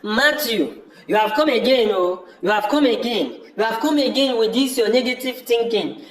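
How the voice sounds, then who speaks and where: A man's voice: very high-pitched, animated, normal speed, a bit bright. A man with a Nigerian accent playing a stubborn, fed-up village brother in an amateur audio drama, dismissive and insistently repeating himself. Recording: echoey room.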